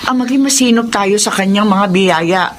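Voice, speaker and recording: A woman with a Filipino accent playing a pious, forgiving older mother in a radio drama, earnest and gently admonishing. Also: medium pitch, normal speed, close to an everyday voice. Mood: gently scolding. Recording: faintly noisy radio drama recording.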